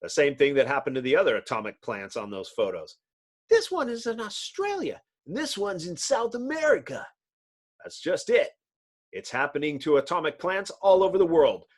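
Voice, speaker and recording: A man voicing a commanding scientist hero in a comic book, explaining things earnestly and decisively. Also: fairly high pitch. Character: brisk, commanding male scientist hero, team leader.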